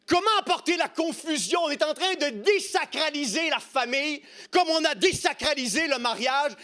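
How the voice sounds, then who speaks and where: A man's voice: very high voice, moderate pace, sharp tone. A middle-aged man with a Quebec French accent preaching an impassioned sermon, emphatic and rhetorical, repeating key phrases. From Quebec, Canada.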